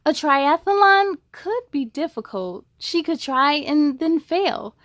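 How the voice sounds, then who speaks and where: High-pitched, enthusiastic woman reading to kids. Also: animated, slow pace, a bit bright, dry recording, studio quality. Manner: enthusiastic, addresses young listeners directly. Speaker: host of a children's read-aloud story show. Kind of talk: animated children's storybook read-aloud with chatty asides.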